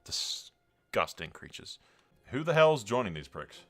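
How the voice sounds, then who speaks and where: A man's voice: lowish voice, sing-song, unhurried, fairly bright tone, faint music behind. A man doing casual let's-play commentary, sweary and blunt, slipping between exclamations and in-character roleplay narration. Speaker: gaming video host.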